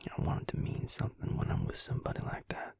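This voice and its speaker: A man playing a tender, regretful male vampire in an intimate audio roleplay, confiding haltingly in a soft, breathy, gravelly whisper. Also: no echo, studio quality, close to an everyday voice. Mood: rueful, candid.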